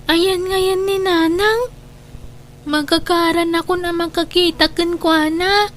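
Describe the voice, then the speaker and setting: A woman's voice: very high-pitched, level tone, takes it slowly, slight background noise. A woman playing an innocent young granddaughter who misses her mother, childlike and plaintive in a radio drama. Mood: innocent, hopeful.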